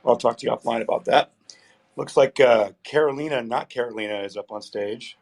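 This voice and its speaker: A man hosting a live audio chat room, his voice weak and hoarse after being sick, talking haltingly with fillers and restarts. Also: mid-range voice, a little mellow. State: voice weak, recovering from illness.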